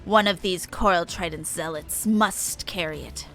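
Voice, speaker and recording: A woman's voice: fairly low pitch, unhurried, music in the background, pitched below the performer's own voice. A woman voicing a stern, protective male fish-man warrior from a video game, formal and commanding. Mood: resolute. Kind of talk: video game dialogue read aloud.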